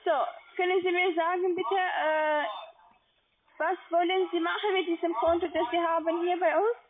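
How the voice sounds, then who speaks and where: A woman speaking non-native German as a call-centre agent, insistent, halting and repeating herself on a sales call. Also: very high voice, fairly even intonation. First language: not German. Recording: tinny narrowband phone line.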